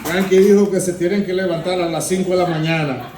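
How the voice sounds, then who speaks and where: A man with a fairly high voice acts as emcee, joking with the audience and moving the event along. He sounds far from the mic in a large, echoey hall, with a crowd clearly heard around him. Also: rapid-fire, noisy background. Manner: jokey, lively crowd banter. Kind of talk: emceeing in Spanish.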